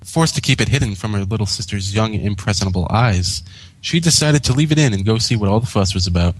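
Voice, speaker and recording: A man's voice: lowish voice, animated, fast talker, slightly rough, no echo, poor audio. A man reading a scripted story aloud as a co-host and narrator. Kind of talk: scripted fan fiction narration.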